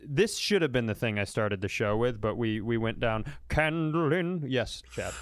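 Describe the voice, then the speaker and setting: A man with a smooth, resonant voice recorded very dry tells casual comic stories as a podcast host. He is animated and profane, leaning into exaggerated disbelief as he banters. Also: mid-range voice, moderate pace, no echo. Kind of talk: casual comedic storytelling banter.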